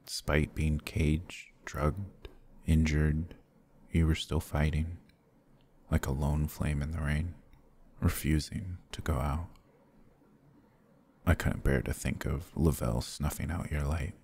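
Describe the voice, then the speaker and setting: A man playing a courteous, protective, brooding vampire lord in an intimate ASMR roleplay, speaking gently and formally with a husky, halting delivery. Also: a bass voice, fairly even intonation, exaggerated voice. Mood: tender, pained.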